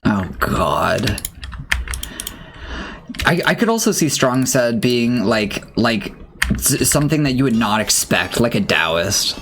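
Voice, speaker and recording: A man's voice: mid-range voice, sing-song, slow pace, fairly bright tone, a little hiss or hum. A man streaming a game, chatting casually in a rambling, self-mocking way while losing his voice. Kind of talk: casual gameplay chat.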